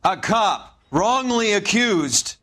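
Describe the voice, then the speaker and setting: A high, bright-voiced grandiose eulogist man. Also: sing-song, takes it slowly, exaggerated voice. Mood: solemn, grandiose. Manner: grandiose, oratorical. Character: solemn, smooth-talking man delivering a funeral eulogy. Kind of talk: formal eulogy, dramatic game acting.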